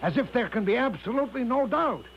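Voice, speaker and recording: High-voiced, cajoling old swindler. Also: male voice, lively intonation, quick pace. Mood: brisk coaxing. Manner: cajoling, folksy, grandly confident. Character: breezy, smooth-talking, eccentric older American con man. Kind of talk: 1970s radio drama acting. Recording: dull lo-fi 1970s radio, faint noise.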